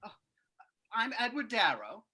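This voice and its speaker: A woman's voice: fairly high pitch, sing-song, unhurried, bright, forceful tone, husky, exaggerated voice. A woman playing a nervous, eager townsman in a radio drama, polite and stammering, repeating words. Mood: flustered, eager. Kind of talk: old-time radio drama acting.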